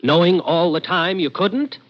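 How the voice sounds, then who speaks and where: An actor playing a scheming, greedy crook who also narrates, persuasive and glib, in 1940s radio suspense style. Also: male voice, high-pitched, unhurried. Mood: eager persuasion. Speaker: protagonist and narrator. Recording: dull old radio recording with music.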